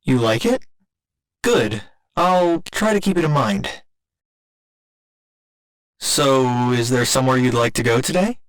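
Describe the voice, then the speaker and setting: A man playing a gentle, earnest robot boyfriend in an ASMR roleplay, speaking in formal, measured, precise sentences. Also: medium pitch, animated, rough recording. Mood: fond, polite. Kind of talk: ASMR roleplay, scripted intimate monologue.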